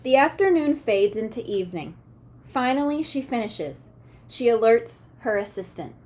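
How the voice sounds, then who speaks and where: Animated, high-pitched woman reading to children. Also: a little hiss or hum. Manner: animated, pausing to check understanding. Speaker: teacher reading a picture book aloud to children. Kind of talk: expressive children's read-aloud with asides and questions.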